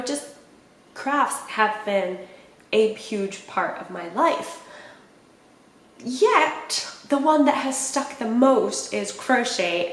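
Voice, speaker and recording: A woman's voice: mid-range voice, echoey room. A woman in her thirties from Puerto Rico, a native Spanish speaker, chatting casually to camera as a podcast host and often correcting herself. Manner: chatty, rambling, self-correcting. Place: small indoor room with plain walls.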